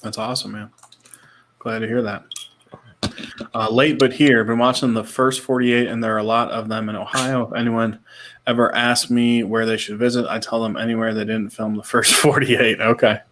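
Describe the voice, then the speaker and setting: A man's voice: medium pitch, flat delivery, moderate pace, fairly bright tone, a little hiss or hum. A man in his thirties co-hosting a casual podcast, talking in a laid-back, slangy way with short repeated reactions, sometimes reading out comments. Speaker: podcast co-host.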